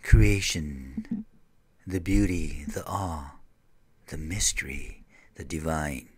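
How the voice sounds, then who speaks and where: A man reading scripted poetic narration in a measured, hushed, meditative manner. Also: a bass voice, slightly rough, no echo.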